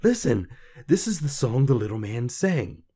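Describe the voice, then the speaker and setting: A man voicing an eager young stable lad in a fairy tale, urgent and excitable. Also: mid-range voice, normal speed, breathy edge, voice pushed up. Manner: urgent, loud, panting eagerness.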